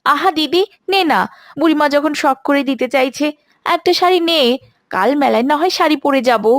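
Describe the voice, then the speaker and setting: A woman's voice: high voice, normal speed, no room sound, clean studio sound, natural voice. A woman voicing a greedy young village sister in a cartoon, pleading and insistent, with music behind her. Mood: eager.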